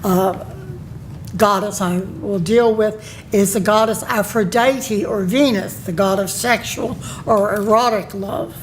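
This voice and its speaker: A woman giving an academic conference talk, reading from a paper in a measured way with pauses and fillers. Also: medium pitch, steady tone, slight background noise, poor audio. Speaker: conference presenter. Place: conference room.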